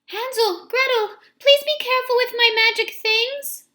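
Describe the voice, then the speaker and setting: A woman voicing a kind, patient good witch who asks politely and pleads in a high voice. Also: fairly even intonation, measured pace, pushed and bright, full character voice. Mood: pleading. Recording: roomy echo.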